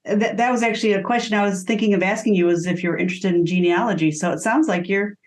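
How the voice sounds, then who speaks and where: A woman's voice: mid-range voice, fast talker. A woman with an American accent, chatting casually as an interview host. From Detroit area, United States. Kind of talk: casual chat.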